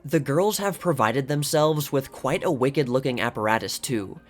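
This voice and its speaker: A man's voice: mid-range voice, normal speed. A man giving a scripted narration, reading aloud from old magazine articles. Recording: music playing behind the voice. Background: background music.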